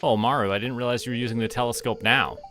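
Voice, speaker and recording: A man giving relaxed game stream commentary, casually thinking aloud and talking to himself and the characters. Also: mid-range voice, fast, noisy background. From Canada.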